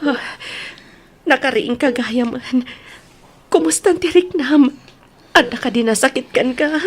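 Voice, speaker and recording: A woman's voice: sits high, measured pace, a little hiss or hum. A woman playing a loving, anguished mother in a radio drama, with a plaintive, emotional delivery. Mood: sorrowful, tender. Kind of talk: tearful radio drama acting. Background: music.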